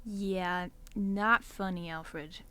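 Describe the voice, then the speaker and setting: A woman's voice: lowish voice, fairly even intonation, extremely slow, pushed and bright, smooth, heavily acted. A woman acting as a blunt, practical young student who is easily annoyed, in an amateur audio drama. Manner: blunt, candid.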